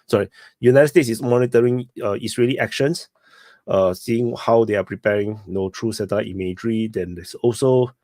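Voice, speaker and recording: A man hosting a casual online discussion, talking haltingly with many fillers and restarts. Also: fairly low pitch, dark tone, no echo. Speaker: host.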